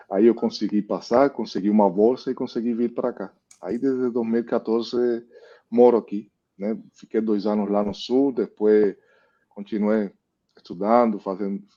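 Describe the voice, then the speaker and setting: A man's voice: on the low side, dark tone, highs cut off. A man from Cuba with a Cuban Spanish accent, a meteorologist speaking Portuguese as a guest in a casual interview, tagging his sentences with "né?". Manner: thoughtful, many "né?" tags.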